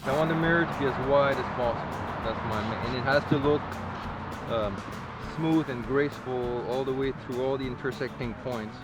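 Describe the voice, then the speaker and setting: A man speaks at an ordinary pitch, casually thinking aloud about the piece he is sculpting. Loud music runs behind his voice in an outdoor recording. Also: moderate pace, low-quality recording. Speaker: sand sculptor. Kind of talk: casual reflective talk while working.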